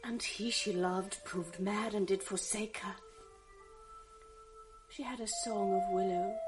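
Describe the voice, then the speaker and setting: An actress playing a gentle, sorrowful young noblewoman in a radio drama, speaking softly and wistfully, at times half singing. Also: mid-range voice. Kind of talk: 1980s radio drama acting.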